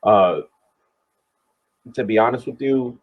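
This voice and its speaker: A man on a tinny, phone-like call giving casual sports commentary as a panelist, halting and deliberate as he thinks aloud. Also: medium pitch. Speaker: podcast panelist. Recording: tinny, muffled phone-like line.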